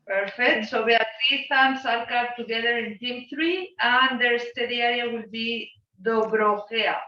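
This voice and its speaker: A woman's voice: fairly high pitch, fairly even intonation, a little slow, bright, forceful tone. A woman hosting an online group session with informal introductions and a self-deprecating, joking manner. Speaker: host of an online student exchange session. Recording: roomy, distant, dull video-call audio.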